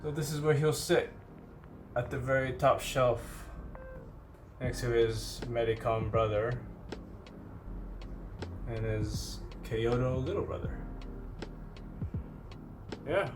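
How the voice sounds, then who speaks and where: A man's voice: medium pitch, slow pace, pauses often. A man giving a casual, rambling review, thinking aloud in an informal, offhand way. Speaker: host of a toy figure review channel. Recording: music under the voice. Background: background music.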